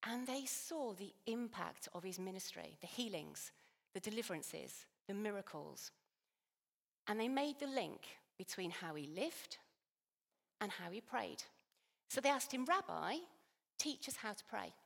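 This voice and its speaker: A woman preaching from the front of a church, her voice a little worn and hoarse. She talks in a chatty, self-deprecating and animated way. Her words ring out with a long, echoing church reverb. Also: medium pitch, unhurried. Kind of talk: sermon. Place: church hall.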